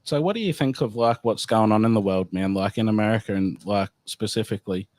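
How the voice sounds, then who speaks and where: A man's voice: on the low side, a little fast, darkish tone, no echo. A man hosting a casual livestream chat, speaking loosely and swearing freely. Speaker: host.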